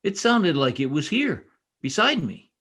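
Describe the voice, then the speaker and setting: An actor playing an earnest, insistent young police trooper in an old-time radio drama, speaking with urgent emphasis. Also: male voice, on the high side, sing-song, a little slow, a bit bright, breathy edge, full character voice.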